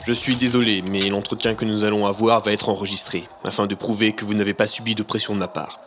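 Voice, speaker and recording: A man playing a grave university official in an audio drama, speaking formally and with measured care. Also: on the low side, flat delivery, background noise, lightly acted.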